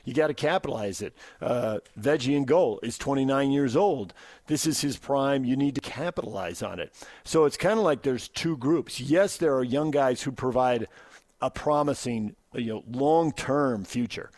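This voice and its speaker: A man's voice: mid-range voice, moderate pace, a little mellow, no room sound. An American man talks sports as a radio host in a casual, emphatic way. His voice sits in a middle register, and the studio sound is close and dry. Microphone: close studio microphone.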